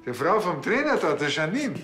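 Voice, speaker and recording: A man's voice: high-pitched, big pitch swings, normal speed, pushed and bright, soft background music, heavily acted. An actor with a Bavarian accent playing a cheerful, naive, self-assured policeman in a TV crime comedy. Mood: chatty. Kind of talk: naturalistic TV crime comedy acting.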